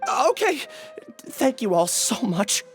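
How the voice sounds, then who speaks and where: Anxious, earnest young man for a game: hesitant, remorseful, widely varying pitch, music underneath. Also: big pitch swings, slow pace, creaky, heavily acted. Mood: earnest, resolved. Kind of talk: video game voice acting.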